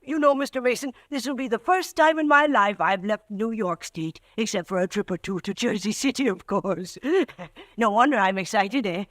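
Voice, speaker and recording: A man's voice: high voice, normal speed, fairly bright tone, no echo. An actor playing a timid, fussy little man who stammers politely, in 1940s radio acting. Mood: excited. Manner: stammering, polite, over-eager. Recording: dry old radio broadcast.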